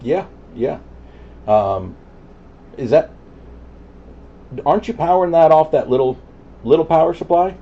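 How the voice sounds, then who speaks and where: A man's voice: fairly high pitch, expressive, a little slow, pauses often. A man chatting casually as a CB radio operator. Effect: CB radio transmission. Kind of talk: casual chat over CB radio. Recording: muffled CB radio audio with static. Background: radio static.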